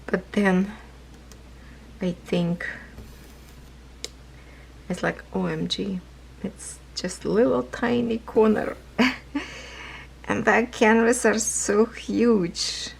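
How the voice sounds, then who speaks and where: A woman chatting casually about her cross-stitch projects, rambling, laughing often, with a hoarse voice as she is losing it. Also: mid-range voice, steady tone, very slow, long pauses, a little hiss or hum. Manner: rambling, non-native phrasing, laughs often. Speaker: craft vlogger. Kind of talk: casual chat while stitching.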